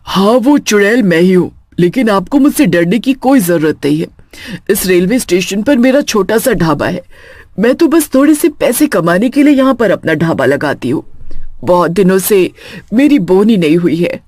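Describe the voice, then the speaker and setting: A woman's voice: medium pitch, lively intonation, normal speed, a little mellow, dry recording, clean studio sound, lightly acted. A woman voicing a lonely female witch who runs a small food stall, coaxing yet menacing, in a cartoon horror story.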